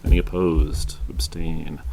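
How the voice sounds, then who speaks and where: A man with a low voice chairs a school committee meeting in a meeting room. He talks loudly and easily, joking as he moves through the agenda. Faint noise sits under his voice. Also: flat delivery, measured pace, gravelly. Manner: loud, joking, conversational. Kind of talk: running a public meeting.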